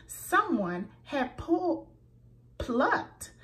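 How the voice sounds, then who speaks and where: A woman reading a children's picture book aloud, slow and expressive, pointing out letter sounds for young listeners. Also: high-pitched. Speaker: children's story reader.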